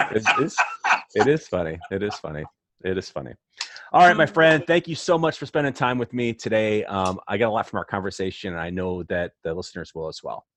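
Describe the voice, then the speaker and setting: A man's voice: lowish voice, expressive, a little fast, fairly bright tone, slight background noise. A man hosting a podcast interview in a casual, appreciative and affirming way. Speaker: podcast host.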